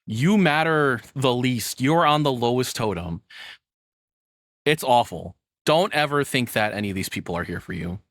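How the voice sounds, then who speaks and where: A man's voice: medium pitch, animated, normal speed, a bit bright, no room sound. A man giving blunt, opinionated, off-the-cuff commentary as a streamer, with casual swearing. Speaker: streamer reacting to a video.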